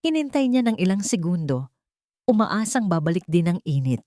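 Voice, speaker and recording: A woman's voice: lowish voice, sing-song, moderate pace, mellow, no echo, clean studio sound. A woman narrating a scripted dramatic story as its storyteller.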